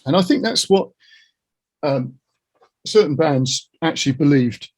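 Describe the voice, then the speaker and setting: A middle-aged man with a husky, gravelly voice talking earnestly and reflectively in a casual interview, offering his opinions. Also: a little high, animated, a little slow.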